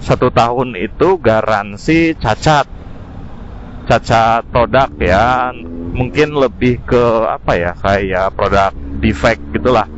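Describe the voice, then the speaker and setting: A man talks casually, as a motovlogger does, at a medium pitch, dropping in English terms. The sound is a dull helmet intercom recording, with faint traffic noise behind him as he rides outdoors. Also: takes it slowly. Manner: informal, mixes in English terms. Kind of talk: casual product review vlog while riding. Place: outdoors, riding a scooter in city traffic. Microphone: helmet intercom mic. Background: faint traffic and engine noise.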